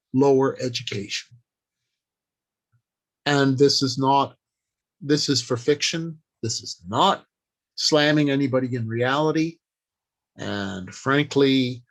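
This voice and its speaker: A man giving an informal instructional talk on writing, speaking deliberately in short, halting phrases with pauses. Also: mid-range voice. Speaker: writing workshop presenter.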